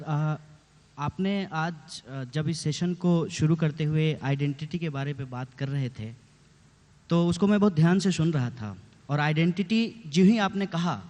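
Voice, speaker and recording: A man's voice: fairly high pitch, a little mellow. A man from Bihar speaking on a debate panel, laying out his argument step by step with examples. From Bihar, India. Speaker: student politician on a debate panel. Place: large conference hall on stage. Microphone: handheld microphone. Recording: long hall reverb.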